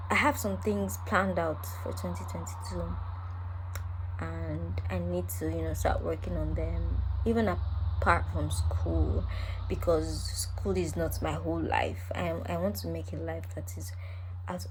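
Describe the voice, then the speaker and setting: A woman's voice: fairly low pitch, fairly even intonation, unhurried, background noise. A young woman, rambling playfully to her camera in her room, teasing herself as she goes. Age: young adult. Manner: playful, rambling and self-mocking. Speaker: vlogger. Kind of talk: casual monologue to camera.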